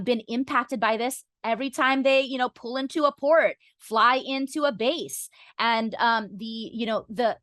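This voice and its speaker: A woman's voice: a little high, a bit bright, no room sound. A woman guest on a podcast explaining her views conversationally, earnest and articulate, often saying "you know".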